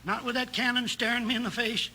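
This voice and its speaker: An actor playing an anxious old frontier cafe keeper in a 1950s western radio drama. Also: male voice, extremely high pitch, little pitch movement, quick pace, sharp tone, heavily acted. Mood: frightened. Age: older. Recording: very noisy vintage radio recording.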